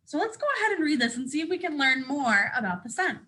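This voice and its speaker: A woman teacher leads a read-aloud for first graders. Her voice is high and bright with very lively intonation, and she explains things simply for small children. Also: quick pace. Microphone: speaking to a hand-adjusted camera.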